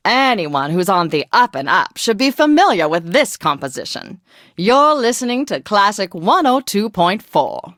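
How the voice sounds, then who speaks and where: A woman's voice: mid-range voice, a bit bright, no room sound, clean studio sound. An actress playing a refined, gushing woman who hosts classical radio with prim, old-fashioned theatrical diction. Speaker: radio host. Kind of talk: scripted classical radio DJ patter.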